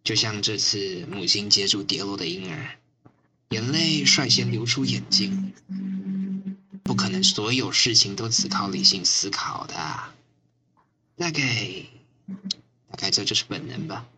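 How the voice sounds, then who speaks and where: A woman's voice: very deep voice, big pitch swings, a little slow, pauses often, background noise, exaggerated voice. A woman playing a sardonic, weary, foul-mouthed smoker on a riot squad in an amateur radio drama, with a teasing, griping manner. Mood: weary, reflective.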